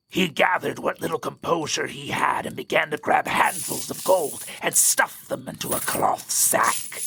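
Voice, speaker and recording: A man with a gravelly voice narrating a scripted story as a storyteller. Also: on the high side, pushed and bright, no room sound.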